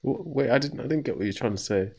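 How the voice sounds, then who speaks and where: A man's voice: mid-range voice, animated, fast, darkish tone, slightly rough. A man hosting a call-in talk show, debating a caller and cutting in with rhetorical questions. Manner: argumentative, cuts in with rhetorical "right?".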